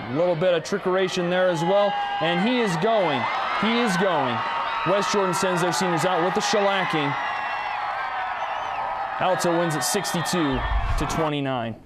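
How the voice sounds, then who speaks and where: High, punchy young male sportscaster. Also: very noisy. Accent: American. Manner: energetic, punchy play-by-play delivery. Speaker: sports reporter intern. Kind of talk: sportscast highlight narration.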